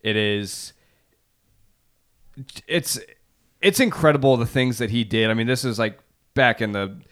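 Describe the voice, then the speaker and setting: A man's voice: medium pitch, a little slow, a bit bright. A man hosting a podcast in a casual, chatty style. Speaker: podcast host.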